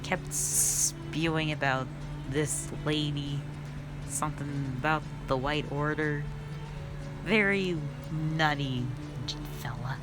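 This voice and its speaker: A woman voicing a sassy, cute, playful lamia in a roleplay audio, chatting bluntly and haltingly to the listener. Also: low voice. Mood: teasing, blunt. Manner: chatty, halting, blunt teasing with eager bursts. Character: sassy, cute female forest lamia, playful half-snake monster. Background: background music.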